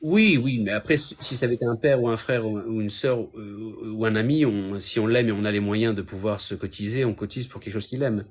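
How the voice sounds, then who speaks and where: A man's voice: fairly low pitch, a little fast, hardly any pauses. A man in a casual debate, defending his views with frequent hesitations, restarts and fillers. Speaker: Raëlian guest in a debate.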